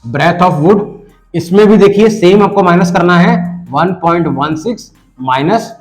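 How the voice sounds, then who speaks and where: A man with a hoarse voice from a cold, patiently explaining a math lesson step by step and talking straight to the viewer. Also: high-pitched, soft background music, rough recording. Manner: patient, addresses the viewer directly, repeats numbers slowly. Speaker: math teacher.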